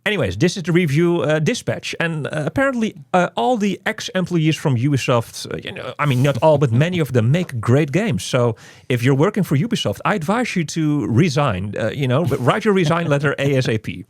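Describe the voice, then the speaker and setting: A man's voice: a little high, sing-song, fairly quick, few pauses, dry recording, studio quality. A man casually hosting an unscripted video game review, chatty and rambling, often repeating words and phrases. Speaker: host of a game review channel.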